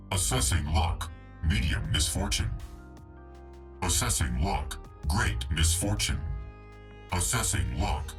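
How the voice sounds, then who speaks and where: A man voicing a blunt, literal robot translator for a video game hero, with deadpan, clipped announcements and robotic processing. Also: low-pitched, full character voice. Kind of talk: video game character voice lines.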